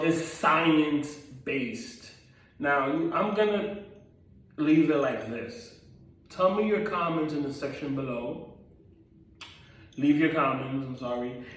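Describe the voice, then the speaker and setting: A man's voice: medium pitch, far from the mic. Earnest man in a very echoey room. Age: 30s. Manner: earnest, repetitive, with frequent ums and self-corrections. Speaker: vlogger. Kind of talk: personal testimony vlog. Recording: very echoey room, voice away from the mic.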